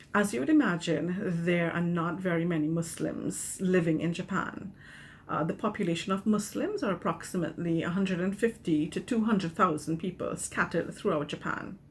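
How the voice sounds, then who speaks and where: A woman, a teacher explaining things calmly in a video message from home. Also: lowish voice, a little hiss or hum. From Trinidad and Tobago. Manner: calm, explanatory, with fillers. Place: small room at home, indoors. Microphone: webcam, video call.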